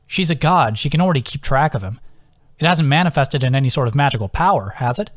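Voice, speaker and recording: A man's voice: medium pitch, lively intonation, fast, dry recording, clean studio sound, natural voice. A man voicing a thoughtful, frank young woman adventurer with a wry, questioning manner in an audiobook.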